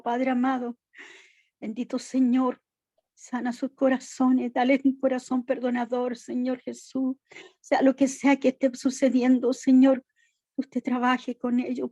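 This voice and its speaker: A woman prays fervently in a high voice that stays almost on one note, returning to the same address between each short phrase. Her tone is mellow and the sound is dry, close and a little muffled. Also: no room sound. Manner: repeats "Señor" and "Padre amado" between every phrase; pleading and intercessory. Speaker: person leading prayer. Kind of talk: fervent spoken prayer.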